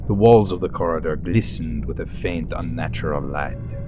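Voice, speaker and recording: A man's voice: a bass voice, moderate pace, music in the background. An actor playing a thoughtful, awed male scholar, giving contemplative, formal, literary narration in an audio drama. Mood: hushed wonder.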